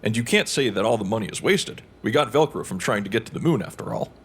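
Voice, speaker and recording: An earnest male radio host, played by an actor in an audio drama. His pitch moves in a lively way and his voice is a little husky. He chats through the news with curiosity. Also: fast, heavily acted. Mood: chatty, earnest.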